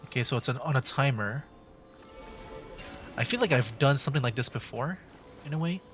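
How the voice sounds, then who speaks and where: A man streaming a video game with casual commentary, thinking aloud in hesitant, halting bursts. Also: medium pitch, expressive, a bit bright, soft background music.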